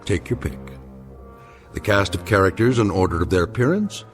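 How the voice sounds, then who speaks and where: A man narrating a scripted anthology drama in a measured, portentous manner. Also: on the low side, big pitch swings. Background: music.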